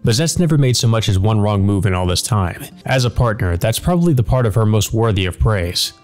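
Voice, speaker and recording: A man voicing a mocking, low-voiced demon servant. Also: expressive, brisk, a little mellow, soft background music, close to an everyday voice. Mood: smug musing. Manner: flippant, taunting, casual. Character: cynical, mocking male demon servant, a grinning shadow.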